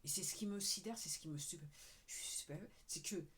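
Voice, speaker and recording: A woman's voice: fairly low pitch, a little fast, fairly bright tone, husky. A woman talking casually to her viewers, rambling with frequent "ok"s and now and then dropping to a murmur. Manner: rambling, repeats "ok", sometimes drops to a murmur; addresses viewers directly. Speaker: video creator. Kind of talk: casual talking-head monologue.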